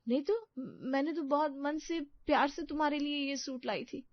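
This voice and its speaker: A woman's voice: sits high, steady tone, normal speed, dry recording, muffled recording, voice pushed up, natural voice. A woman voicing an anxious, eager-to-please young daughter-in-law in a story, earnest and plaintive. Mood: nervous, pleading.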